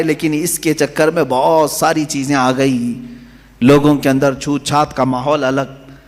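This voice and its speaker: A man's voice: fairly high pitch, long reverb tail. A man delivering a sermon as a preacher, with impassioned oratory that rises and falls. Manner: impassioned, rising and falling oratory.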